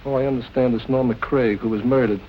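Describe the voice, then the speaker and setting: A muffled old film track with faint noise carries a quick-talking man an actor. He plays a gossipy club employee in noir style, eager and deferential. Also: medium pitch, fairly even intonation, natural voice. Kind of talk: 1940s film noir acting. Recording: dull, noisy old film soundtrack.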